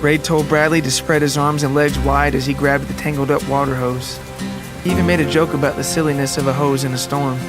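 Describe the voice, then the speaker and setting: A man narrating a scripted literary story in a measured, deliberate way. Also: a little high, little pitch movement. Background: music and rain.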